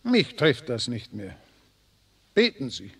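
Casting: ruhiger, gefasster alter Professor, knarzig und heiser, Hörspiel mit Nachhall. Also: male voice, mid-range voice, slow, vocal fry, slightly rough, full character voice. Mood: dignified. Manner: calm, steadfast, dignified. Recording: reverberant old mono radio play.

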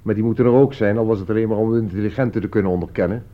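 Wry male writer played by an actor, deep voice, flippant and dry, radio drama, muffled recording. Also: very fast, close to an everyday voice. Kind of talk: Dutch radio drama dialogue. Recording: dull, band-limited old radio recording.

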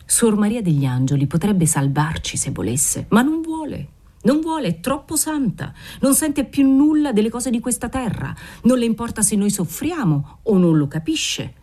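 A woman's voice: low-pitched, lively intonation, normal speed, hardly any pauses, slightly rough, full character voice. Woman reading as a volatile old nobleman. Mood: fervent. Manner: swings from dreamy murmuring to furious shouting; fervent, obsessive. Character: aging nobleman, desperate, volatile, pious father.

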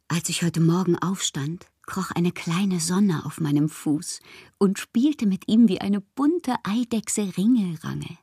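An actress playing a passionate, eccentric bohemian poetess, reading fanciful letters in a theatrical radio drama monologue with a breathy voice. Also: female voice, fairly low pitch, dark tone. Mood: dreamy delight. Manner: theatrical, dreamy, often slipping into breathy whispers. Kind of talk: dramatic literary monologue in a German radio play.

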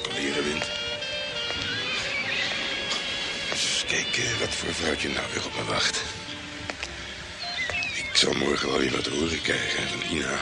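A man's voice: rumbling low, huge pitch swings, moderate pace, creaky, over loud music, full character voice, rough recording. An actor playing a brash, self-important hard-boiled male private detective in a radio play, blustering and condescending. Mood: self-satisfied musing.

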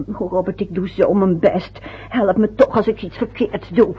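Nervy older mum, breathy and husky, chatters on and mutters to herself, old muffled radio play sound. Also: female voice, medium pitch, steady tone, normal speed. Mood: fretful. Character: fussy anxious older mother. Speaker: mother. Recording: dull lo-fi old radio recording.

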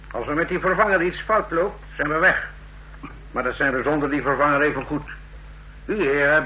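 An actor playing a confident commander-in-chief, lecturing formally and emphatically in an old Dutch radio drama. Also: male voice, a little high, bright, forceful tone, rough recording.

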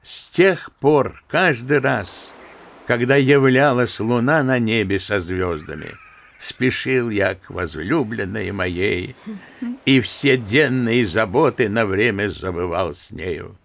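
Slow, gravelly old general spinning tales. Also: male voice, lowish voice, fairly bright tone, exaggerated voice. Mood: fond, bashful. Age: older. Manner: unhurried, bookish, old-fashioned storytelling. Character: old general and grandfather, unhurried naive storyteller, good-natured. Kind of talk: Russian radio drama acting.

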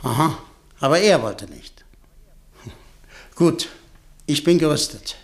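An actor in a German radio play playing a shrewd, courteous and commanding company director with formal, deliberate phrasing. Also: male voice, fairly high pitch, sing-song, heavily acted. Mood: probing. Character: shrewd, smooth-talking businessman and director, courteous but commanding.

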